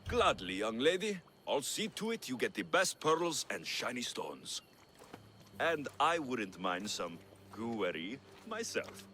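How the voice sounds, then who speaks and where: An actor playing a tough but wry and kindly mercenary in a video game. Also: male voice, mid-range voice, very animated, unhurried, sharp tone, a little hiss or hum. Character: tough male Greek mercenary, gruff but kind, wry. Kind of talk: video game cutscene acting.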